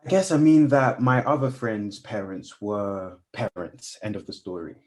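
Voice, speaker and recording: An actor playing an earnest, polite young family friend in a radio play, speaking in a hesitant, apologetic, wistful way. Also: male voice, lowish voice, lively intonation, normal speed, lightly acted. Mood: awkward, sincere. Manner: hesitant, apologetic, soft-spoken.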